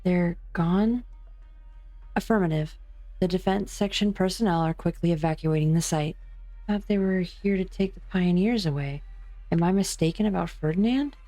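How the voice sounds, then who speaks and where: Woman doing a formal lab researcher, low mellow voice, faint music. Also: moderate pace, lightly acted, poor audio. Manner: formal, procedural. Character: precise female lab researcher.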